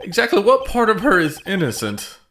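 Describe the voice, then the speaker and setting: A man's voice: high-pitched, huge pitch swings, a little fast, a bit bright, slight background noise, exaggerated voice. A man voicing an earnest young male defense attorney who exclaims and stammers when caught off guard. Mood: worried. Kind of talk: fan dub game voice acting. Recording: with background music.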